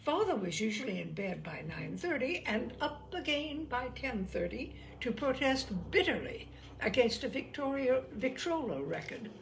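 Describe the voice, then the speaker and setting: A woman reading literary stories aloud as a storyteller, with measured, deliberate, expressive phrasing. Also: on the high side, gravelly, a little hiss or hum, rough recording.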